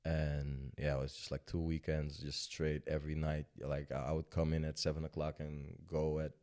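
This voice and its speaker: A young man from Sudan with a very low voice talks about his music in a relaxed, meandering way. He fills gaps with "like" and "you know" and laughs easily as the guest on a podcast. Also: normal speed. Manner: relaxed, rambling, laughs often, many fillers. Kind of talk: casual interview talk.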